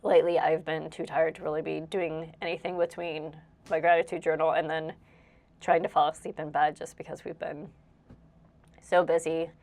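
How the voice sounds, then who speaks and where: A woman's voice: sits low, level tone, moderate pace, gravelly, darkish tone. A young woman, slightly congested, chatting casually and rambling as she narrates her house cleaning with frequent laughs. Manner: rambling, self-deprecating, laughs often. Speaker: cleaning vlogger.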